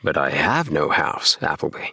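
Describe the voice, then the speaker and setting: A man reading as a bemused, deep-voiced young friend. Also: big pitch swings, normal speed, pushed and bright, husky, dry recording, studio quality, full character voice. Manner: soothing, bemused. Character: puzzled, reasonable young man, the story's first-person friend. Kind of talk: storybook dialogue reading.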